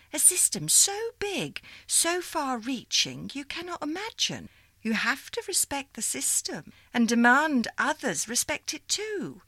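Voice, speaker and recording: A woman's voice: fairly high pitch, a bit bright, husky, no echo, clean studio sound, voice pushed up, exaggerated voice. A woman voicing a chatty older female ghost who once ran the switchboard, coaxing and familiar. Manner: coaxing, familiar, calls her dear.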